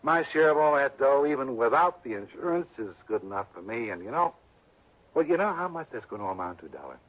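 A man's voice: medium pitch, very animated, brisk. An actor playing a lazy, blunt man who inherits from a dead relative, drawling and defensive, in a 1960s radio drama. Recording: dull lo-fi old radio broadcast.

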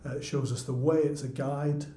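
A man's voice: fairly high pitch, steady tone, normal speed, mellow. A man co-hosting a podcast, explaining musical background in a hesitant, casual way. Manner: hesitant, explanatory, many restarts. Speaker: podcast co-host. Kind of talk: casual chat about choral music. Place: office room. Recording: echoey room.

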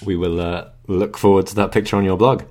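Deep-voiced male interviewer. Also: level tone, fairly quick, darkish tone.

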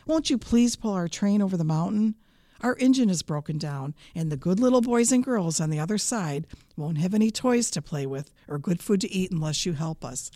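A woman's voice: on the low side, animated, normal speed, dark tone, no echo, studio quality, natural voice. A woman voicing little dolls and toys in a storybook, pleading politely and earnestly. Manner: imploring, polite.

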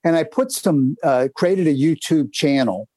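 A man's voice: a little high, expressive, unhurried, darkish tone, dry recording. A man co-hosting a podcast, chatty and storytelling, full of fillers as he plugs upcoming segments. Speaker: co-host. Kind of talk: casual podcast chat. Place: home in northern Kentucky.

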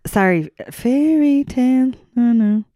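A woman's voice: a little high, expressive, slow, warm tone, no echo, studio quality. A woman co-hosting a podcast, chatting casually and poking fun at herself as she plays a guessing game, swearing freely. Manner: playful, self-mocking, sweary. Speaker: podcast co-host. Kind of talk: casual banter while playing a guessing game.

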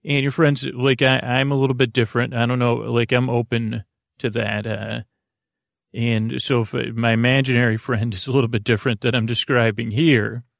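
A man with a creaky voice hosting a bedtime podcast, talking in a soothing, meandering, halting way and often breaking off mid-thought. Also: medium pitch, little pitch movement, dry recording, studio quality. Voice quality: creaky, gravelly. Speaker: podcast host. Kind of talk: rambling bedtime podcast monologue.

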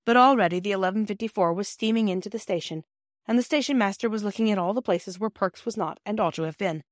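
A woman's voice: medium pitch, quick pace, dry recording. Woman narrating a storybook, dry close sound. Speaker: audiobook narrator.

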